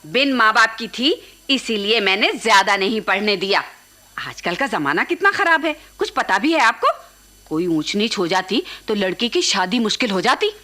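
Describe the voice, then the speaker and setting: A woman playing a sharp-tongued, scolding middle-aged housewife and aunt in a radio play. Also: mid-range voice, big pitch swings, normal speed. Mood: indignant, complaining. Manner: scolding, complaining, sarcastic. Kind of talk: Hindi radio play acting.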